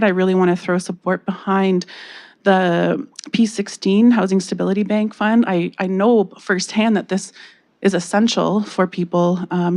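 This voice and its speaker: Woman, Canadian accent, soft voice, hesitant, earnest, lowish pitch, council chamber podium mic. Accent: Canadian English. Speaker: public delegate. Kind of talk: public comment at council meeting. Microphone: podium microphone.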